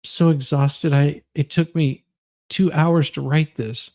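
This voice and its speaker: Man putting on a creaky, melodramatic, self-pitying woman. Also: a little high, fairly even intonation, slow pace, a little mellow, no room sound, studio quality. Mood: exhausted, pleading. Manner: theatrical, solemn, overwrought. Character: aggrieved, self-pitying, melodramatic woman of thirty-three reading her post.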